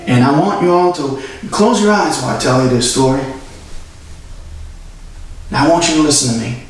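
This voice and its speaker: A distant, heavily reverberant recording carries a man performing a young male defense lawyer in a dramatic courtroom monologue. His voice sits a little high. He addresses the jury with earnest, solemn oratory, deliberate and full of feeling. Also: close to an everyday voice. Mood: grave, pained storytelling. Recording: very echoey room, far from mic.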